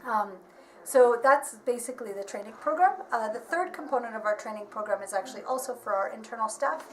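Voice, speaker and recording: A woman's voice: on the high side, a little fast. A woman working as a marketing advisor, explaining things earnestly and steadily in an interview, with frequent ums and restarts. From United States. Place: workshop premises. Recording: echoey room, voice from far off, faint background noise.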